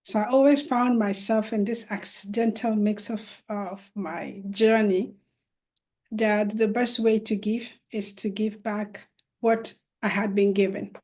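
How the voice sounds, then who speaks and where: A middle-aged woman from northern Nigeria speaks on a video call panel. She talks very slowly and earnestly, and she restarts her phrases with many fillers. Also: mid-range voice, fairly even intonation, a little mellow. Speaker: panelist, law professor and educator. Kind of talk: virtual panel talk.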